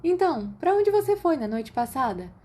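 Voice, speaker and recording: A woman's voice: sits high, big pitch swings, normal speed, warm tone, voice pushed up. A woman with a Brazilian Portuguese accent voicing a friendly, playful teenage schoolgirl in an audiobook.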